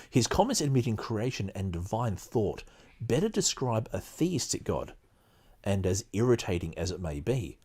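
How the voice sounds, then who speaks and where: A man hosting a podcast, reading aloud and giving casual commentary in a halting, emphatic way. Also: fairly low pitch, darkish tone, slightly rough. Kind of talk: casual commentary mixed with read-aloud passages.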